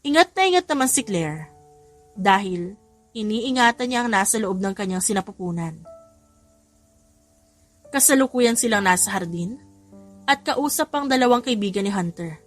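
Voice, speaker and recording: A woman's voice: medium pitch, expressive, normal speed, pauses often. Filipino woman narrating over music. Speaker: audio drama narrator. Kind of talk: scripted dramatic story narration.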